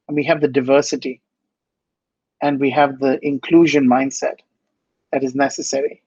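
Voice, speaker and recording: A man's voice: medium pitch, darkish tone, no echo, muffled recording. A man from India, speaking earnestly and with passion as a guest in an interview, often repeating phrases for emphasis. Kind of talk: inspirational interview answers.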